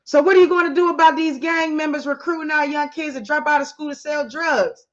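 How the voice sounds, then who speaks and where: A woman's voice: high-pitched, brisk, lo-fi sound, voice pushed up, natural voice. Woman doing an angry old-school male teacher. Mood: confrontational. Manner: confrontational, loud.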